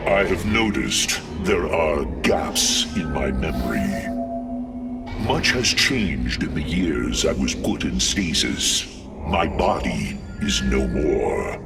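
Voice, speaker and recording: A solemn alien warrior in a mechanical body rumbles at an extremely low pitch. The delivery is very slow, formal and grave, with a gravelly edge. It is a game cinematic performance. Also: male voice, lively intonation, exaggerated voice. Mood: puzzled, grave. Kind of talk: dramatic game cinematic acting. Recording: distant voice under loud music.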